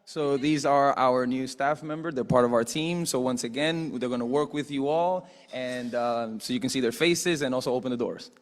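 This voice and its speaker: A man's voice: mid-range voice, unhurried, hardly any pauses, in a large hall. A man interpreting each announcement right after the presenter, in a plain manner. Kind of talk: consecutive interpreting.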